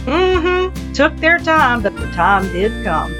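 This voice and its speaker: A woman's voice: sits high, big pitch swings, slow, over music, pitched above the performer's own voice, full character voice. A woman voicing a kindly, folksy old henkeeper with rural turns of phrase in a short story reading. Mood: warm, pleased.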